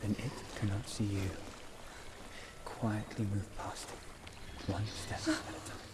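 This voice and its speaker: A man playing a calm warrior companion in a video game, softly and soothingly guiding someone with reassuring instructions. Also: fairly low pitch, slow pace, heavy background noise. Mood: steadying. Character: calm male warrior companion guiding a frightened young woman. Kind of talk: cinematic video game acting.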